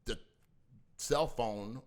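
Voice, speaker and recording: A man's voice: mid-range voice, extremely slow. An older man, a father in a family mediation, talking emphatically and repeating himself, insisting on finishing his point. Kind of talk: heated family discussion.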